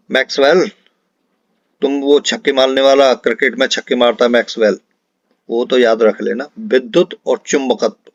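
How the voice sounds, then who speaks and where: A man's voice: medium pitch, no echo. Man teaching, dry slightly dull recording. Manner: instructive, repeats questions and answers for emphasis. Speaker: teacher for an exam-prep class. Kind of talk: explanatory quiz lesson, talking to students.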